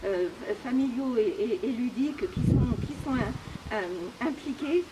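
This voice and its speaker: A woman with a high voice speaks in an unscripted official briefing. Her phrasing is formal and halting, with frequent hesitation, and there is clear noise on the recording. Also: animated. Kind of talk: spoken press briefing, unscripted. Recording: clearly noisy on-location recording.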